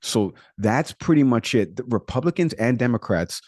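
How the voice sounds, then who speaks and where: A man's voice: medium pitch, lively intonation, moderate pace, darkish tone, no room sound, studio quality. A man with a Puerto Rican accent co-hosting a podcast, talking casually and rattling off statistics with frequent fillers. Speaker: podcast co-host; product manager.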